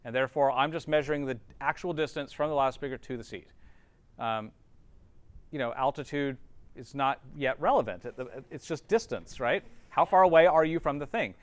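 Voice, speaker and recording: A man's voice: medium pitch, moderate pace, smooth, no room sound. A man teaching a class informally, explaining step by step and often checking understanding with short tag questions.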